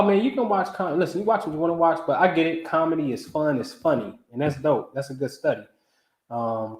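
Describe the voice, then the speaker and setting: A man's voice: medium pitch, a little mellow, roomy sound. A man in his forties hosting a casual astrology livestream, talking loosely and animatedly in slang, often asking "you know what I'm saying?". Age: 40s. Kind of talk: casual live talk.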